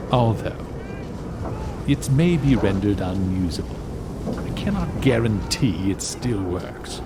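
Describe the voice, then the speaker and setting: A learned, reluctant adviser in a fantasy audio drama. His low voice moves slowly, with stiff and cautious formality. Clear ambient noise sits behind him. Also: big pitch swings, heavily acted.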